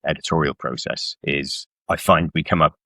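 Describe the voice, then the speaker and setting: A man's voice: very deep voice, normal speed, sharp tone, dry recording, studio quality. A middle-aged man from South Wales chatting informally as a guest author in an interview, with a self-deprecating manner. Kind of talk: casual interview chat about work.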